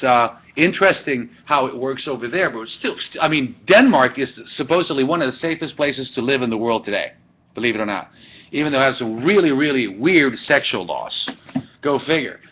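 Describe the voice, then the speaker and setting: A man lecturing a class, rambling and correcting himself as he goes, recorded by a webcam. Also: mid-range voice. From Sweden. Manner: rambling, digressive, self-correcting. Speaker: teacher. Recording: dull lo-fi webcam audio.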